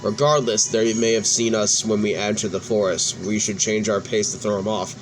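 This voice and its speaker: A man's voice: fairly low pitch, steady tone, moderate pace, low-quality recording. A man hosting a let's play, reading game cutscene dialogue aloud and sometimes stumbling and restarting words as he goes. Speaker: gaming video host. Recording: background music behind the voice. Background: music.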